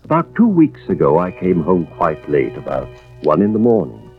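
A troubled insurance man narrates his worries in an old radio drama. His low voice swings widely as he hesitates and broods. The recording is dull and vintage, with faint music behind. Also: wildly swooping pitch, normal speed, exaggerated voice. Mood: brooding, uneasy. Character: troubled insurance investigator husband, brooding and anxious. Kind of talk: 1940s radio drama acting, first-person narration.

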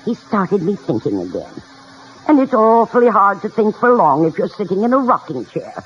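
A woman acting as a spry, witty elderly lady in a vintage radio comedy. She is wry and forthright, with highly animated intonation. The old broadcast sounds muffled, with faint noise. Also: normal speed, natural voice. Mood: wry, gracious. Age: older.

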